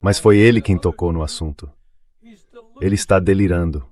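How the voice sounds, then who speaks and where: A man's voice: low-pitched, moderate pace, darkish tone. A man giving political analysis as a commentator, in a synthetic translated voice-over. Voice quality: synthetic dubbing voice. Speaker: commentator guest.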